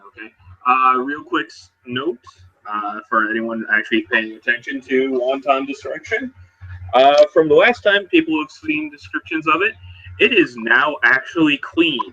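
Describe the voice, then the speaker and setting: A man narrating and improvising in character as a role-playing game player, in a halting, conversational way. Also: mid-range voice, animated, sharp tone, slightly rough, highs cut off.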